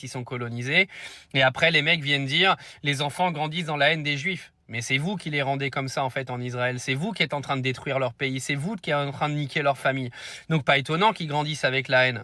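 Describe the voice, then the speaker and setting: A man's voice: medium pitch, sharp tone, no echo, clean studio sound. A man giving opinionated reaction commentary in a blunt, indignant, slangy way.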